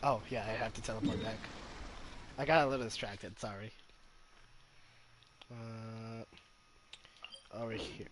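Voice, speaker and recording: A man's voice: fairly low pitch, fairly even intonation, a little slow, pauses often. A man giving casual gameplay commentary, rambling and thinking aloud as he plays. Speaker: gaming streamer. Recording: noisy mic with game sound.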